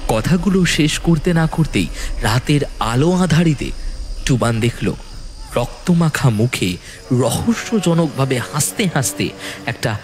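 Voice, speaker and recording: A man's voice: mid-range voice, expressive, a little slow. A man narrating a scripted horror story in a dramatic storytelling style. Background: music.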